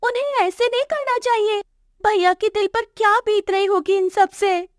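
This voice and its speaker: A woman's voice: extremely high pitch, steady tone, normal speed, no room sound, studio quality, pitched above the performer's own voice. A woman voicing a sweet, girlish young college-going sister-in-law in a moral story, polite in manner. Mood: concerned. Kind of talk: acted moral story dialogue for animation.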